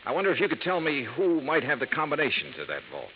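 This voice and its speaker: A quick, wry male investigator narrating his case with a lively, rising and falling delivery. The old broadcast sounds dull, with music underneath. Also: a little high, a little hiss or hum. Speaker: narrating lead. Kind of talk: 1950s radio drama acting.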